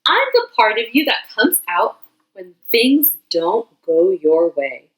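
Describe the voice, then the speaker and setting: A woman voicing a hairy red creature that embodies anger, speaking gently and reassuringly. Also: mid-range voice, sing-song, slow, poor audio.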